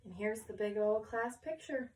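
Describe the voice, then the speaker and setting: A woman reading a picture book aloud to children in an expressive storytelling style. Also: on the high side, normal speed, slight background noise. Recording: echoey room, distant mic.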